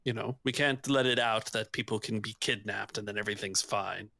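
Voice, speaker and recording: A man's voice: on the low side, steady tone, a little fast, gravelly, pushed and bright, no echo, heavily acted. A man playing a stern, suspicious military lieutenant with a formal, officious manner in a tabletop game.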